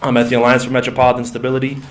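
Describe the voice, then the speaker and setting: A man with a Minnesotan accent, a coalition organizer, giving a conference talk; he sounds nasal and congested from a cold and speaks haltingly with many ums and restarts. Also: mid-range voice, completely flat delivery. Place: foundation meeting room.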